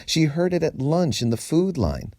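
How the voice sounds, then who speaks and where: A man reading a story aloud as a storyteller, with deliberate, dramatic phrasing and emphasis. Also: fairly high pitch, sing-song, warm tone, dry recording, clean studio sound. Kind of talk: expressive storybook narration.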